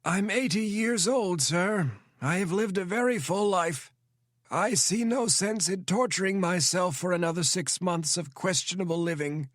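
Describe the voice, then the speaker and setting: A man voicing a frail, formal male spy chief in his eighties, who speaks in a measured way and keeps saying sir. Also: sits high, no room sound, studio quality, pitched above the performer's own voice. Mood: quiet, resigned. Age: 80s.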